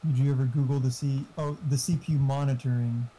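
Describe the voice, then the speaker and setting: A man's voice: mid-range voice, level tone, fast talker, mellow, a little hiss or hum, poor audio. A man chatting casually and giving tech advice in a blunt, explanatory, sweary way. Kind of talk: casual chat. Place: online voice chat.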